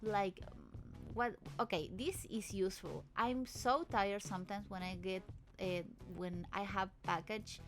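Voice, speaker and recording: A woman who is not a native English speaker, talking over video clips as the host of her channel in a hesitant, nervous way, often restarting her sentences, recorded at home in an apartment. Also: on the high side. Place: apartment in a busy town area with traffic outside.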